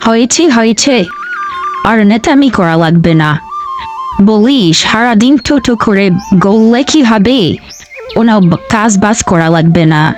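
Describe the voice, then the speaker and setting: A woman voicing a sharp-tongued, stubborn fox wife in a cartoon, scolding and sarcastic. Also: on the high side, animated, a little slow, faint music behind. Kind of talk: cartoon voice acting.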